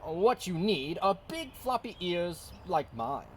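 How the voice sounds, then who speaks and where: A woman voicing a deliberate, proud male elephant in a storybook. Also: lowish voice, big pitch swings, a little hiss or hum. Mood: matter-of-fact.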